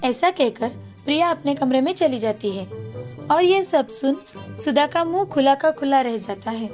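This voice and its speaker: Woman narrator telling a moral story, high voice, soft music under it. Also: animated, moderate pace.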